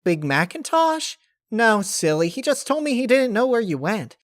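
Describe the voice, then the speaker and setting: A man's voice: sits high, sing-song, normal speed, dry recording, studio quality, voice pushed up. A man voicing a gleeful, chatty young female cartoon pony who rambles breathlessly in a storybook reading. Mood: playful, eager.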